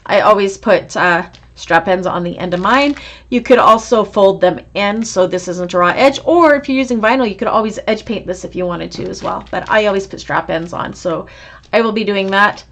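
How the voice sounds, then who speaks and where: A woman's voice: mid-range voice, moderate pace, fairly bright tone. A woman teaching a live online sewing class, chatty and encouraging as she answers viewer comments. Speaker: sewing instructor.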